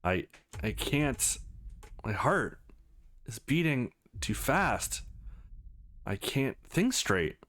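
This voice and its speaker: Man as anxious young visual novel hero, halting, very expressive pitch. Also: full character voice. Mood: overwhelmed, halting. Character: supernaturally overwhelmed young man training, anxious, earnest. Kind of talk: visual novel read-aloud.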